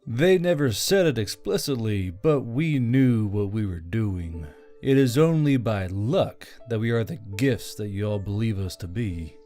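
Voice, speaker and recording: A man voicing a weary, self-doubting, soft-hearted military commander in audiobook dialogue, speaking in a subdued way. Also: on the low side, animated, measured pace, faint music behind. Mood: confessional, heavy.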